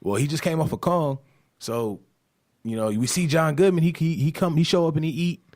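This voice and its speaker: A man's voice: fairly high pitch, fairly quick, a little mellow. An American man talking casually and slangily as a guest on a podcast. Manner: slangy, informal.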